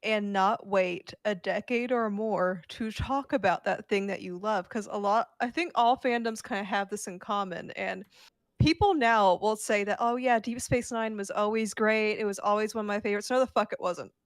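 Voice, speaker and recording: A woman's voice: medium pitch, normal speed, no echo. A woman on a podcast panel, giving casual fan opinions in a blunt, emphatic way.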